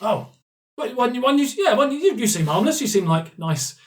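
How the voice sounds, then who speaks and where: A man's voice: very high voice, big pitch swings, normal speed, pitched above the performer's own voice. A man voicing a simple, talkative vampire guard in a tabletop game, blustering yet chatty and easily swayed. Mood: chatty, friendly. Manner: blustering, then chatty and hesitant.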